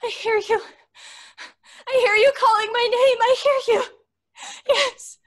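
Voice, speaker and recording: A woman's voice: very high voice, fairly even intonation, measured pace. A woman playing a frightened young woman crying out desperately in an old-time radio horror play. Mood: frantic, terrified. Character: frightened young woman answering a ghostly call, frantic.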